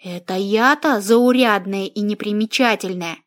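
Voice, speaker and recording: A woman narrating first-person fiction as an audiobook, with a measured, expressive reading. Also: medium pitch.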